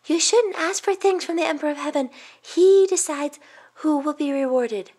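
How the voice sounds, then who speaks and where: Woman voicing an eager, high-pitched little boy. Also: normal speed, a little mellow, natural voice. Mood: earnest. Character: earnest, generous youngest boy of a village family.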